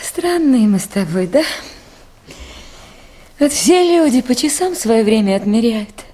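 A woman's voice: a little high, expressive, a little slow, dark tone. An actress playing a caring but anxious woman who runs a river station, in Soviet radio drama style. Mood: tender, reflective.

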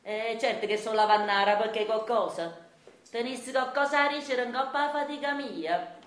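Radio play casting: woman to act a bossy, brawling Neapolitan washerwoman, high voice, scolding and mocking, recorded in a roomy echo. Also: normal speed, lightly acted. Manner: brash, scolding, mocking, breaking into laughter. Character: fierce domineering Neapolitan washerwoman matriarch who beats her husband. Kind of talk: theatrical radio drama monologue in dialect.

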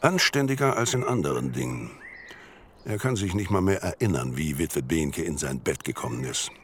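An actor playing a sardonic radio play narrator with a dry, ironic manner. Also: male voice, extremely low pitch, very animated, moderate pace, noisy background. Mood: dry irony. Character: sardonic male narrator commenting on a detective's doings. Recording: music under the voice.

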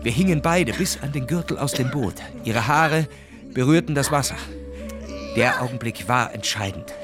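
An actor playing an officer who recounts his adventure in measured, dramatic literary radio narration. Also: mid-range voice, animated, music in the background, natural voice. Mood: grave.

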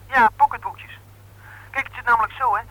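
An actor playing a breezy, persuasive young brother-in-law pitching a deal in a 1960s radio drama. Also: male voice, fairly even intonation, brisk, sharp tone, full character voice, poor audio. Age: young adult. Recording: old radio play recording.

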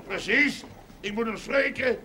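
An actor playing a defiant, blustering farmer, loud, sardonic and declamatory, in a television drama. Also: male voice, very high voice, unhurried. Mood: angry, demanding. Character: defiant, blustering Limburg farmer facing land expropriation. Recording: noisy 1970s television soundtrack.

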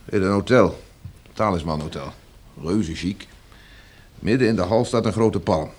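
A man's voice: sits low, a little slow, darkish tone. A man in a radio drama playing a cheery, slangy working-class henchman guarding a captive with offhand ease. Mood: jaunty, amused.

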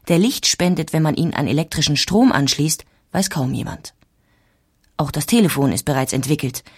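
A woman narrating a scripted historical documentary in a measured storytelling manner. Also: sits low, darkish tone, breathy edge.

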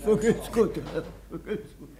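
A woman's voice: medium pitch, moderate pace, dark tone, slight background noise, close to an everyday voice. A woman playing a wheedling, cackling old street seller in a radio drama. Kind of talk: radio drama character acting.

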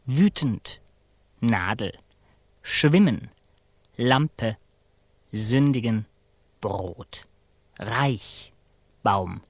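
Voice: mid-range voice, no echo, heavily acted. An actor in a radio play voicing a solemn chanting figure who recites isolated words in a detached way. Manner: slow, detached single-word recitation.

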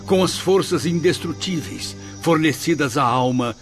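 An actor playing an earnest male spirit in a radio drama, speaking in a measured, declamatory way. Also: a little high, lightly acted. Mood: solemn, exhorting. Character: Camilo, earnest male spirit telling his story. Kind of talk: solemn radio drama acting and narration. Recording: background music under the voice.

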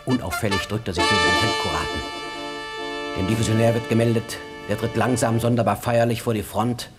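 A man narrating a radio drama in a terse, intense, dramatic style, hushed at times. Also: lowish voice, fairly even intonation, a little fast, close to an everyday voice. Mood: urgent, dramatic. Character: tense storyteller of an officer's ordeal. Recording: music behind the voice.

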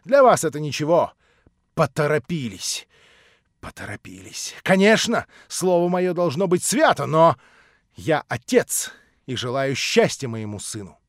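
A man reading an audiobook voices an older nobleman, lowered, measured and cool. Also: sing-song, dry recording, studio quality. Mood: cold. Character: older nobleman, cold, condescending and smooth.

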